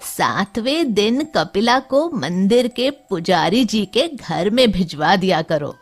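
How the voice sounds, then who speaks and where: A woman plays an old village mother in a cartoon tale, loving yet firm. She draws her words out very slowly and instructively, her pitch rising and falling widely over music. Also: expressive. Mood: firm, explaining. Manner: slow, drawn-out, instructive.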